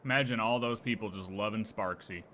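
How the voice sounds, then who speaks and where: Bright-voiced male streamer reacting casually. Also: lowish voice, fairly quick, slight background noise. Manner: jokey, slangy, reads dialogue aloud. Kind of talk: casual live game reaction chat. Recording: music behind the voice.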